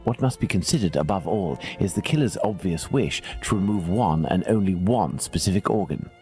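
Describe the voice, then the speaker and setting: Deep british gentleman detective, calm and exact, lecturing formally, video game cutscene, faint music. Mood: analytical, composed. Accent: British English. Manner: measured, formal, precise, didactic. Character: calm, analytical middle-aged male Victorian English detective. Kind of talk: dramatic video game dialogue.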